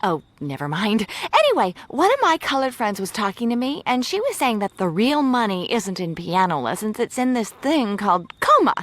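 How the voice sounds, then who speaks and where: A woman's voice: a little high, big pitch swings, moderate pace, few pauses, fairly bright tone. An actress playing a prim, snobbish suburban mother in a video game, chirpy, fussy and condescending. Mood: flustered, condescending.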